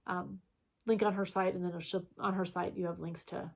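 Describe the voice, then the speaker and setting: A woman's voice: on the low side, little pitch movement, moderate pace, vocal fry. A woman, co-hosting a casual stitching chat, rambling and chatty with plenty of fillers. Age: 40s. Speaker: co-host.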